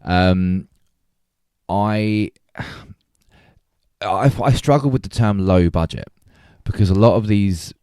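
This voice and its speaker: A man's voice: on the low side, takes it slowly, long pauses, a little mellow, clear, resonant tone, no room sound, clean studio sound. A man hosting a casual film discussion podcast, talking reflectively and circling back to rephrase his points. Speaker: podcast host.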